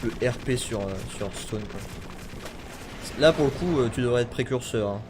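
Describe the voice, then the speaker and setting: Guy streaming a game, jokey chat, loud gunfire going off in the back. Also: mid-range voice, normal speed. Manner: chatty, jokey, shouts in bursts. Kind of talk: casual gaming chat. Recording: noisy game audio with gunfire.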